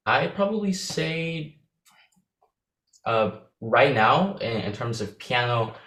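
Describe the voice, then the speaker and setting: Young man, low voice, hesitant. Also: echoey room, low-quality recording. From Philippines. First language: Visayan. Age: young adult. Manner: hesitant, many fillers and restarts. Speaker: interview guest. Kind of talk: casual interview answers.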